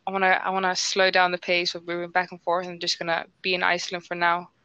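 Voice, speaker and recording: A young woman who grew up in England, hosting a podcast in relaxed casual chat, with lots of filler words. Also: fairly low pitch, quick pace, clear, resonant tone, dry recording, muffled recording. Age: young adult. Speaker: podcast host.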